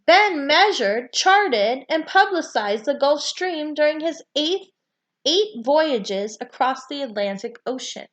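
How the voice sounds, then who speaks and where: A woman reading a children's nonfiction book aloud with deliberate, emphatic phrasing for young listeners. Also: sits high, expressive, a bit bright, lo-fi sound. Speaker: read-aloud storyteller.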